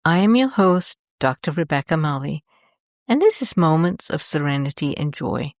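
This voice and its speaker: A woman host narrating a guided meditation in a gentle, soothing and deliberate manner. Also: low-pitched, very animated, no echo, studio quality.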